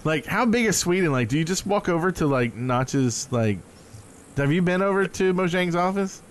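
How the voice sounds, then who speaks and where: A man's voice: sits high, animated, normal speed, slight background noise. An American man co-hosting a live call-in podcast, joking and self-deprecating, with lots of "like". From United States. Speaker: podcast co-host. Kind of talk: casual live call-in chat.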